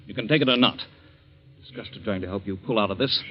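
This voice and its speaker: An actor playing a curt, evasive, easily exasperated husband in a 1940s radio mystery. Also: male voice, on the low side, natural voice. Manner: brisk, clipped, easily exasperated. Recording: dull vintage 1940s radio recording.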